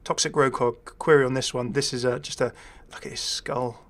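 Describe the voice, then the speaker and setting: A chatty male gaming host with a medium-pitched voice talks casually off the cuff. He thinks aloud, hesitating as he goes, and slips in dry, wry asides. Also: fairly even intonation, a little fast, a bit bright. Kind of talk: casual unscripted video game commentary.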